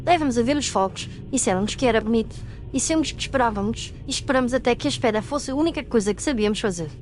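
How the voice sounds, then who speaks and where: A woman's voice: on the high side, expressive, racing, darkish tone, slight background noise, pitched above the performer's own voice, natural voice. Ghostly children's voice, as a woman puts it on. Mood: plaintive. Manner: pleading, plaintive. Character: chorus of ghostly waiting children.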